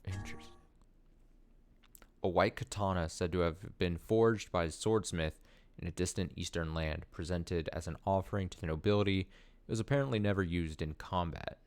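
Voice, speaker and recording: A man streaming a video game, chatting excitably and reading item descriptions aloud. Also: low-pitched, normal speed. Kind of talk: casual gameplay commentary.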